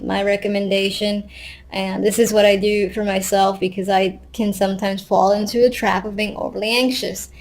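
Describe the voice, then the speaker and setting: A woman's voice: medium pitch, darkish tone. A woman giving a casual, unscripted tarot reading, thinking aloud conversationally with wry asides. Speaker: tarot card reader.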